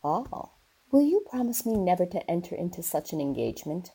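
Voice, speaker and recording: A woman playing a haughty older aristocratic lady in a radio play, speaking formally and commandingly in a husky voice. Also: fairly low pitch, very animated, brisk, dark tone, heavily acted, rough recording. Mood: indignant, imperious. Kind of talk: radio theater acting.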